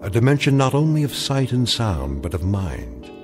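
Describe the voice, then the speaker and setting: An American man delivering scripted radio drama narration in a measured, portentous manner. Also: fairly low pitch, sing-song, faint music behind.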